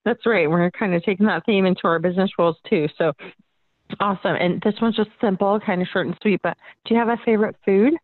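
A woman's voice: medium pitch, fairly even intonation, quick pace, dry recording, phone-quality sound. A woman hosting a casual interview in an upbeat, affirming, informal manner. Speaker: podcast host, business coach.

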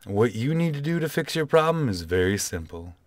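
A man playing a smooth, self-assured late-night love-advice radio DJ in a comedy radio play, with a theatrical, pompous delivery. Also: mid-range voice, very animated, fairly quick, no echo, lightly acted. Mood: suave, confident. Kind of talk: comedy radio play in old-time radio style.

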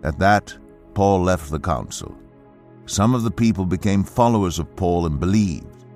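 A man reading scripture as a measured, deliberate audio Bible narration. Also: low voice, expressive, a little mellow, faint music behind.

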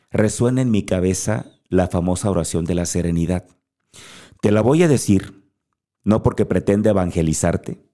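A man's voice: low-pitched, mellow. A Mexican man hosting a podcast, giving an earnest motivational monologue straight to the listener. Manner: earnest, speaks directly to the listener. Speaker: podcast host.

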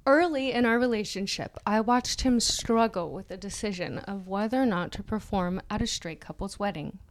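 A woman's voice: mid-range voice, normal speed, slight background noise. A woman co-hosting a podcast, doing a mock-dramatic reading of an article and laughing through her lines. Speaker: podcast co-host.

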